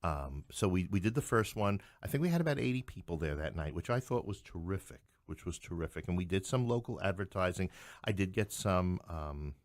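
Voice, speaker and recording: A middle-aged man speaks in a low voice, recorded close and dry. He talks conversationally as an interview guest, slipping in wry, joking asides as he explains things. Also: lively intonation, fairly quick, dry recording. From New York City. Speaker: playwright and podcast guest.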